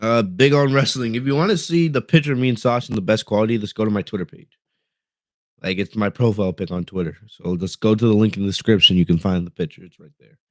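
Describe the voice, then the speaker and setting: A man's voice: lowish voice, fast, no room sound. A young man from the United States hosting a wrestling podcast from his bedroom, talking in a casual, rambling way with lots of fillers and restarts while he reads the live chat. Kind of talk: casual livestream commentary. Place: bedroom at home.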